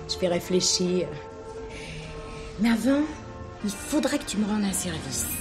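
An actress playing a wily, coaxing Parisian prostitute in a French radio play, speaking colloquially. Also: female voice, mid-range voice, big pitch swings, takes it slowly, music in the background. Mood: pleading, coaxing.

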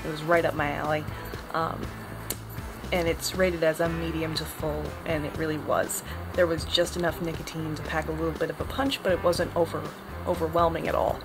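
Young woman, low-pitched, chatty, casual review, phone camera, music in the background. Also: level tone, normal speed. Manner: friendly, chatty, with frequent ums. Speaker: cigar reviewer.

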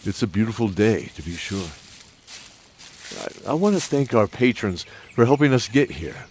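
A man's voice: on the low side, big pitch swings, normal speed, a little mellow, a little hiss or hum. A man playing a kindly, weary old knight who introduces an audio drama in a hesitant, musing way. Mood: warm, musing.

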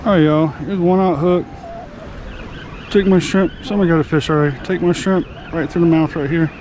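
Man, high voice, folksy fishing host, outdoor boat noise. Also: fairly even intonation, brisk, a little mellow, poor audio. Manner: folksy and upbeat, addressing viewers as y'all. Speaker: fishing channel host and charter captain. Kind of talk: casual vlog narration. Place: open boat on the water outdoors. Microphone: action camera on the boat. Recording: outdoor boat recording with engine and water noise.

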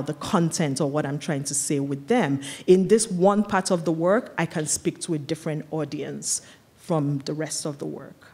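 A woman's voice: deep voice, darkish tone. A woman in her thirties from Nigeria, a guest artist explaining her practice thoughtfully in an onstage conversation, with frequent "like" and "um". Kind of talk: onstage conversation before an audience. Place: large auditorium stage. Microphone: stand microphone.

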